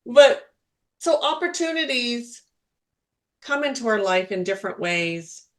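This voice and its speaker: A woman's voice: a little high, very animated, a little slow. A woman co-hosting a spiritual podcast, chatting casually, laughing often and restarting her sentences. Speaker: podcast co-host, spiritual medium.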